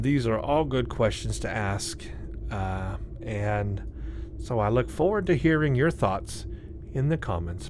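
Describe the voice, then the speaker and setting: A man's voice: medium pitch, a little mellow, a little hiss or hum. A man hosting a gaming video, giving casual tour commentary and reading on-screen text, his voice tired and starting to give out.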